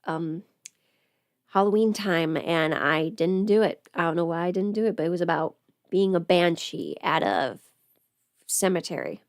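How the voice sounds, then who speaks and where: A woman's voice: fairly low pitch, normal speed, no room sound. A woman casually chatting on a livestream while doing her makeup, playful and absent-minded, muttering to herself in a close-miked voice. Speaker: livestream host, voice performer.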